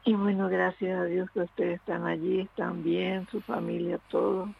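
A man calling into a radio show, speaking slowly and earnestly, offering heartfelt wishes. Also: sits high, flat delivery, gravelly, breathy edge. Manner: slow, earnest, prayerful wishes. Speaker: radio caller. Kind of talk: phone-in chat. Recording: tinny phone line.

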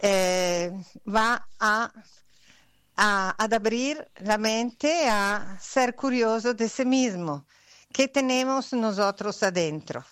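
An Italian woman speaks Spanish slowly in a casual interview, halting and searching for words. Her voice is smooth and clear. The sound is dry and close, with little room around it. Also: medium pitch, fairly bright tone, no echo. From Italy. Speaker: guest artist.

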